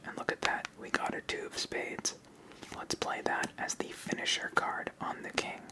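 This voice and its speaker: A person whispering throughout in an ASMR style, calmly explaining a card game step by step as its host. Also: normal speed. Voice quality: whispered, unvoiced.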